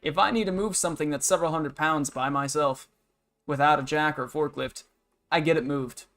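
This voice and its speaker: A man's voice: a little high, fairly even intonation, a little fast, a bit bright. Young man ranting casually on stream. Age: 20s. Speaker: game livestreamer. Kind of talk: casual rambling stream chat, venting about work.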